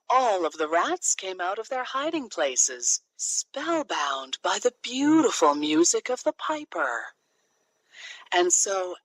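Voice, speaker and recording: Slow, animated woman storyteller. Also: medium pitch, dry recording, rough recording. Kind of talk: scripted children's fairy tale narration.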